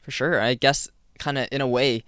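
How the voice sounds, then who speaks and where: A young man hosting a podcast interview in a relaxed, affirming tone, often saying "kinda." His voice is smooth and clear, and the recording is dry and close, with no room sound. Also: mid-range voice, normal speed, no echo. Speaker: podcast host. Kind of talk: casual interview chat.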